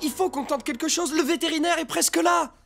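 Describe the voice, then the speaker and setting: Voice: high-pitched, brisk, vocal fry. An actor voicing a bossy, upbeat fox who takes charge in a French children's cartoon dub. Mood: determined. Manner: theatrical, take-charge. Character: resourceful fox leader disguised as a pet, bossy and upbeat. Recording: loud music under the voice.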